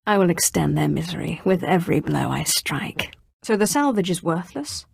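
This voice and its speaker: A menacing young Sith sorceress from a video game, pitched on the low side for a female voice. She is cold and commanding, speaking in clipped, imperious phrases with a dry sardonic edge. The recording is close and dry, with no room sound. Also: expressive, moderate pace, vocal fry, darkish tone, husky, no echo, exaggerated voice. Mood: cool, probing. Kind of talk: scripted video game dialogue.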